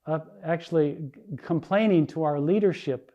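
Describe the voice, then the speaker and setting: An older man from the United States preaches in an earnest storytelling way, often restarting his sentences. His voice is a little high for a man and mellow in tone. The recording sounds dull and lo-fi. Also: normal speed. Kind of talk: sermon testimony.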